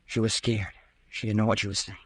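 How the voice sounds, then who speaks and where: A man's voice: fairly low pitch, level tone, a little fast, a bit bright. An actor playing a cornered young college man, indignant and evasive, in old-time radio drama style. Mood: evasive, defensive. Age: young adult.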